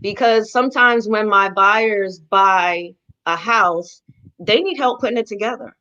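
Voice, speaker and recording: A woman's voice: mid-range voice, bright, forceful tone. Boston-accented woman on a dull remote line. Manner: enthusiastic, talkative, spells things out slowly. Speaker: real estate agent guest. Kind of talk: casual interview chat.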